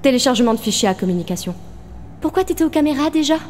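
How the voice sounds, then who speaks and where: A woman voicing a defensive astronaut crewmate who argues and questions insistently in an animated fan dub. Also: high voice, very fast, slight background noise. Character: defensive, argumentative female astronaut crewmate, reasoning aloud.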